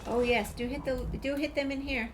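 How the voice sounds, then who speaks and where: A woman's voice: high-pitched, fairly even intonation, brisk. A woman giving casual, chatty commentary while playing a video game. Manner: chatty, addresses viewers and characters. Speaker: gamer commentating a playthrough. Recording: clear game noise behind voice. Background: video game ambience.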